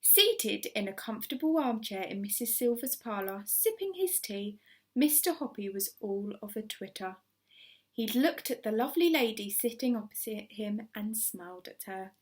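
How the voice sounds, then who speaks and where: A woman with a slightly high voice narrates a children's story. She reads expressively, like a teacher reading to young pupils. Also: expressive, normal speed. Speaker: primary school teacher reading aloud.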